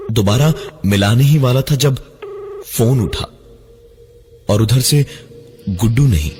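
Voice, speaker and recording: A man narrating a scripted first-person horror story in a dramatic, halting, suspenseful manner. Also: medium pitch, darkish tone. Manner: dramatic, halting delivery with suspenseful breaks and repeated words.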